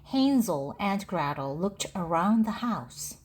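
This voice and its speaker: Woman, read-aloud storytelling, deliberate phrasing, lively pitch movement, breathy voice, slow pace. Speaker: storybook narrator.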